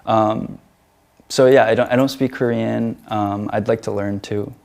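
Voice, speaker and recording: A young American man with a low, gravelly voice answers questions about his art and travels. He talks casually and hesitantly, circling back and filling pauses with "um" and "uh.". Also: fairly even intonation, darkish tone. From United States. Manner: hesitant, many fillers and restarts. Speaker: featured artist, guest.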